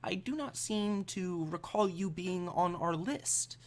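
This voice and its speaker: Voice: medium pitch, takes it slowly, voice pushed up, natural voice. An elderly female museum guide, acted out by a game master for a tabletop game. She is formal and apologetic, officious about her duties, and hesitates politely as she goes.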